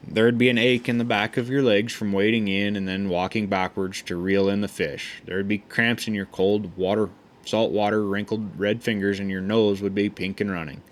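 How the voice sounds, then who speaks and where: A man reads a memoir aloud with a somewhat low voice. He takes his time in a folksy, easygoing manner, often tripping over a word and starting it again. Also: steady tone. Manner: unhurried, folksy, with frequent stumbles and restarts. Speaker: audiobook reader.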